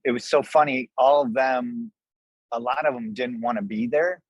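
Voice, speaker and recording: A man's voice: mid-range voice, normal speed, breathy edge, dry recording, highs cut off. An American man in his sixties telling stories casually as an interview guest, folksy and conversational. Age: 60s. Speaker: podcast guest, restaurant co-owner.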